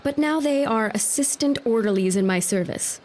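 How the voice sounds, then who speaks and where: A woman's voice: on the high side, lively intonation, moderate pace, a little mellow, lightly acted. A woman playing a resolute young noblewoman in an audio drama, firm and composed. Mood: stern authority. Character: resolute young noblewoman running an army hospital.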